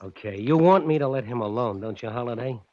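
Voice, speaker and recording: An actor playing a ruthless, cocky gangster in a 1940s radio drama, barking curt threats. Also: male voice, mid-range voice, expressive, a little fast, darkish tone, no room sound, lightly acted. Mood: menacing. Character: ruthless, cornered male gangster, cocky and menacing. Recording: muffled old radio recording.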